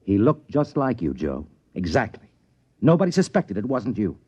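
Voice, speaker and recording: An actor playing a shrewd, wary trading-post boss in a 1950s radio drama, folksy and musing. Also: male voice, on the low side, huge pitch swings, moderate pace, a little mellow, slightly rough, full character voice. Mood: suspicious. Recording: muffled old radio recording.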